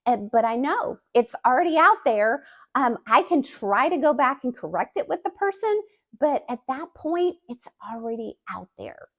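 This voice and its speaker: A woman hosting her own channel as a communication coach, giving a scripted, expressive talking-to-camera explainer with emphatic rises in her delivery. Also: high voice, normal speed, highs cut off. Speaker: YouTube host and communication coach.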